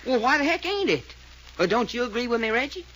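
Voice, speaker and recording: A man's voice: extremely high pitch, sing-song, fast talker, sharp tone, full character voice. An actor playing a brash, folksy country-boy adventurer with a drawl, in a 1940s radio drama. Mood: boastful. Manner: Southern drawl, folksy slang, blustering.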